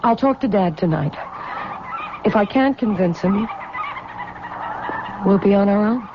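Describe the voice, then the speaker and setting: A woman's voice: medium pitch, lively intonation, unhurried, lightly acted. An actress playing a devoted, emotional young woman in an old radio drama, on a dull and noisy recording. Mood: torn, weary. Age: 20s. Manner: earnest, emotional. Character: devoted, determined young woman in love, torn.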